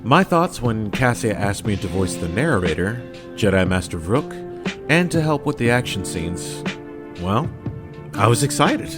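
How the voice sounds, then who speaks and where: A man's voice: on the low side, sing-song, unhurried. A man who is a voice actor, giving a scripted, theatrical and playful message to listeners. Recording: music behind the voice. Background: music.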